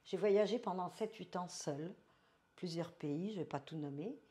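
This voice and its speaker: A woman in her seventies from France, telling her life story as an interview guest, slow and thoughtful, slipping in English phrases. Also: on the low side, mellow.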